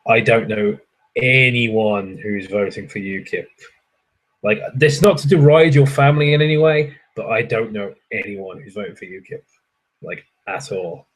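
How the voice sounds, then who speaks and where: Husky sweary streamer ranting casually. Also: male voice, lowish voice, moderate pace, rough recording. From England. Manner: sweary, ranting, laughs often. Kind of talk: casual chat with viewers.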